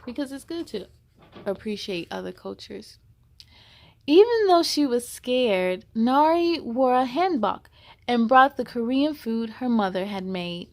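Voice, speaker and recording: A woman with a high voice hosts a children's storytime. She takes her time, speaking slowly and expressively. Her manner is encouraging and aimed at young listeners. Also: animated. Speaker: host reading a picture book aloud. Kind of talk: children's read-aloud storytelling.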